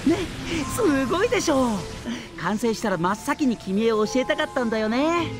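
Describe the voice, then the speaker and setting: A playful young male schemer from an anime game, pitched high and swinging freely up and down. He shows off with smug, teasing, sing-song delivery, like a mad scientist pleased with himself. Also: very animated, normal speed, over music. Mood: playful, boastful.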